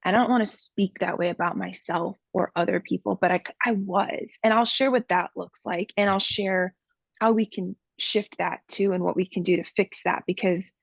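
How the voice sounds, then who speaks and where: A woman talks openly as a podcast guest, her voice carrying a gravelly fry and a steady middle pitch. She speaks earnestly, with plenty of "um" and "like". The sound is close, dry and a bit dull. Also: dry recording. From Miami, USA. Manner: earnest, candid, many fillers. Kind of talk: personal interview talk.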